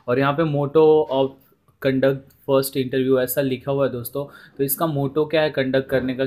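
A man with an Indian accent giving an informal explainer voice-over, addressing viewers as friends, repeating himself and mixing in English words. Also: medium pitch. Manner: repetitive, often addresses listeners as friends, mixes in English words. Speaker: presenter of a job information video.

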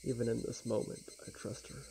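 A quiet, laid-back young man with a gravelly, often breathy voice, streaming a game with casual talk and soft reading aloud. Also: mid-range voice, little pitch movement, fairly quick, very noisy. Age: young adult. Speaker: let's play streamer. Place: small indoor room with blinds.

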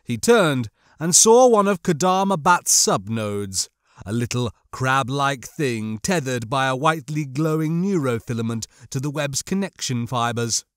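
A man narrating a novel as scripted dramatic audiobook storytelling, in a measured, literary manner. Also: mid-range voice, lively intonation, a bit bright, no room sound, studio quality.

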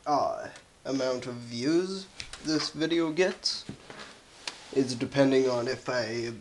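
A young man hosts a casual teardown video, his voice crackly from a cold. He talks slowly and haltingly, often clearing his throat. Faint noise sits under the camera mic in a carpeted room indoors. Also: mid-range voice. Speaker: YouTube host. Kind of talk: casual teardown vlog narration.

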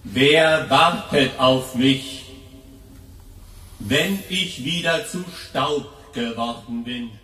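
A solemn atheist declaims questions in a radio play. His bright, forceful voice rings out from far off in an echoing empty church, extremely slowly. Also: mid-range voice. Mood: solemn, searching.

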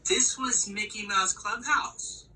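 A woman's voice: medium pitch, slow, pushed and bright, husky. A woman talking casually with a small child in a bedroom, encouraging and prompting with questions. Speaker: parent chatting with a young child. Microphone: handheld phone, close by.

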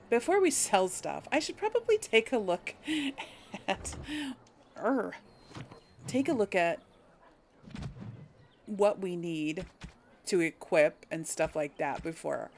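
A woman's voice: medium pitch, sing-song, slow pace, pauses often, a little mellow, a little hiss or hum. A woman giving casual game commentary, thinking aloud in a hesitant, musing way as she hosts. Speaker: gaming video host.

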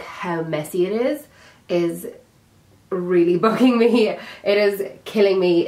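A young woman chats casually to camera while sorting makeup, her voice a touch raspy after an illness. She talks easily and pokes fun at herself as she handles each product. A faint hiss sits under the indoor recording. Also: fairly low pitch, poor audio. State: slightly raspy from being sick. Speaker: beauty vlogger.

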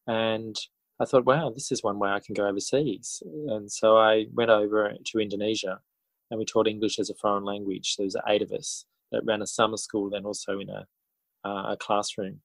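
An Australian man in his forties talks as a podcast guest. His voice sits fairly low, with a very dry sound. He tells things casually and reflectively, often pausing and rewording as he goes. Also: level tone, vocal fry, no echo, low-quality recording. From country New South Wales, Australia. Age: 40s. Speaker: interview guest, schoolteacher and author.

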